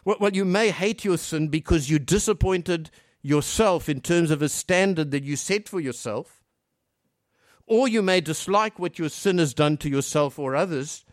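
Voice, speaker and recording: A man from Africa preaching a sermon earnestly, posing rhetorical questions and swinging between hushed and emphatic delivery. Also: fairly high pitch, moderate pace, dry recording, studio quality.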